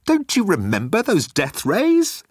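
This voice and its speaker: A frantic, fretful Earthman acted out by a male narrator in a comic science fiction story. His voice leaps high above the narration, spluttering and exclaiming in panic. The sound is close and dry. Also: wildly swooping pitch, measured pace, no echo, heavily acted. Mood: frantic alarm. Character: Arthur, frantic fretful Earth man, sputtering with alarm.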